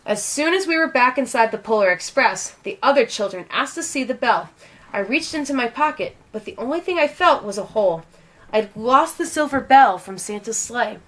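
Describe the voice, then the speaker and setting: A woman reading a children's storybook aloud in an expressive, dramatic and deliberate storytelling style. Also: fairly high pitch. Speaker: storybook reader.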